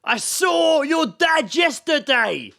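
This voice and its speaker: A man voicing a loud, cheerful bus driver hollering across traffic in drawn-out shouted words, in a comic anecdote. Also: very high voice, big pitch swings, vocal fry, voice pushed up, exaggerated voice. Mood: insistent yelling.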